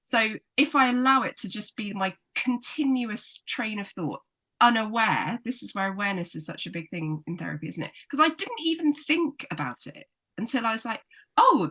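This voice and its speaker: A woman psychotherapist, a guest on a podcast, explaining things warmly and sometimes acting out her inner critic. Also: fairly high pitch, lively intonation, normal speed, rough recording. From United Kingdom. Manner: warm, explanatory, acts out inner voices. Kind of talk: casual chat, explaining.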